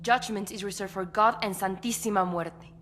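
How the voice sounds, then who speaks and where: An actress playing a devout, defiant cartel cult priestess with a Latin American Spanish accent, solemn and fervent, in a video game. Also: female voice, mid-range voice, steady tone, moderate pace, background noise, close to an everyday voice. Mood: solemn defiance. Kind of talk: dramatic video game cutscene acting.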